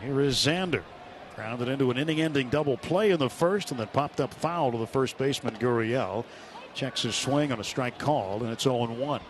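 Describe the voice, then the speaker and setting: A man calling baseball play-by-play live on the radio in a measured, descriptive way. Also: medium pitch. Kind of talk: live sports commentary. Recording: clear stadium noise behind live broadcast. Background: outdoor ballpark ambience.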